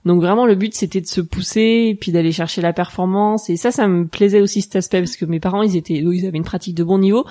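A woman's voice: lowish voice, steady tone, fast, few pauses, mellow. A woman in her thirties from Haute-Savoie in France, telling her story casually and modestly as an interview guest. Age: 30s. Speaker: interview guest, mountain guide and rescuer.

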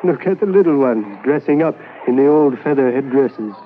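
A man's voice: mid-range voice, expressive, close to an everyday voice. A man playing a wise old fisherman of the reserve. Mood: friendly, confiding. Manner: slow, simple broken English. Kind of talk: 1950s radio drama. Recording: tinny lo-fi old radio broadcast with hiss.

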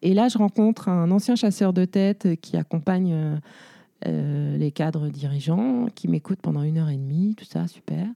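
A woman's voice: fairly low pitch, normal speed, vocal fry, warm tone, no room sound. A woman in her forties, an entrepreneur, telling her career story in a casual interview, full of fillers and restarts. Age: 40s. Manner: chatty, many fillers and restarts. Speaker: guest, entrepreneur.

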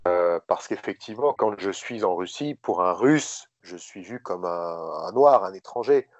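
A man guesting on a podcast, speaking in a reflective, thoughtful way with frequent pauses and fillers. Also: deep voice, lively intonation, dry recording, highs cut off. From France. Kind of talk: reflective interview talk.

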